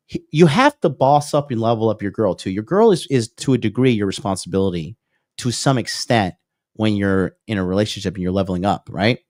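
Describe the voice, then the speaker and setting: A man's voice: medium pitch, darkish tone, no room sound, studio quality. A male dating coach in his thirties, giving a whiteboard lecture on a livestream and peppering his points with "okay?" and "right?". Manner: reads out and answers viewer comments.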